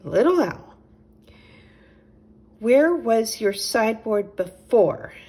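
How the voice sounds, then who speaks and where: A woman voicing a kindly, patient male chipmunk who gently questions his friend in a children's storybook. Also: a little high, very animated, takes it slowly, husky, pitched above the performer's own voice, full character voice. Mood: patient questioning. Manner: reassuring, gentle, inquisitive.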